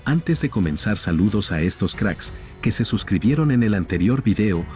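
A man with a deep, low voice narrates a soccer news video. He talks straight to viewers in an upbeat tone. Soft music runs behind him. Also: animated, normal speed. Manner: upbeat, addresses viewers directly. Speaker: channel narrator.

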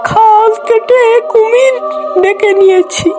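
A woman's voice: very high voice, steady tone, takes it slowly, music in the background. A woman voicing a frightened, lamenting little bird in a cartoon fairy tale, plaintive in delivery. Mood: distressed, fearful.

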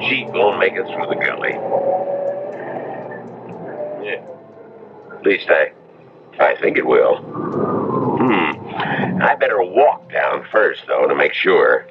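An actor playing a folksy, easygoing Western local driver, plain-spoken and hesitant, in 1970s radio drama. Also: male voice, a bass voice, big pitch swings, takes it slowly, gravelly, pauses often, heavily acted. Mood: folksy, grumbling. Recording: noisy, tinny old radio recording.

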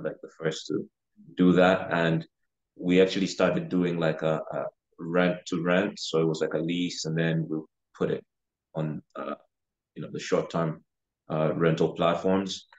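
Guy from uganda, really low voice, pretty monotone, casual interview chat, bit dull video call sound. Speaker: podcast guest, real estate investor. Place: living room, on a video call. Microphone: video call, sitting back from camera.

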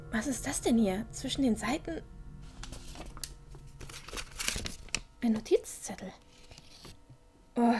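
A woman playing a curious, squeamish young apprentice in a fan-made fantasy radio play, thinking aloud to herself. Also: fairly high pitch. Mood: disgusted, puzzled. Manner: thinking aloud, with gasps and sounds of disgust.

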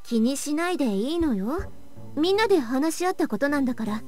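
A gentle, reassuring young woman for a visual novel; slightly high. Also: expressive, a little fast, faint music behind.

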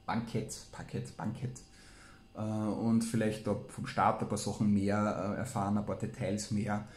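A man's voice: fairly low pitch, completely flat delivery, a little slow. A man, hosting, reading aloud and commenting casually. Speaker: host. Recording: roomy, echoey room sound.

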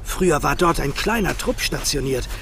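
A man's voice: fairly high pitch, expressive, normal speed, creaky, fairly bright tone, slight background noise. A man playing a gaunt, proud and wary elderly fisherman in a children's audio drama, theatrical and sighing. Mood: stern warning. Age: older.

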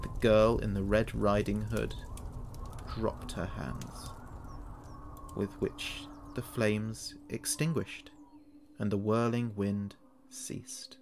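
A man narrating a scripted horror story in a measured, dramatic way. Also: fairly low pitch, steady tone, vocal fry, a little mellow, slight background noise.

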